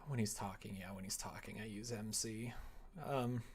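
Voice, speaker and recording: A man's voice: on the low side, steady tone, moderate pace, a little hiss or hum. A man streaming live, chatting casually and muttering to himself as he thinks aloud while working at the computer.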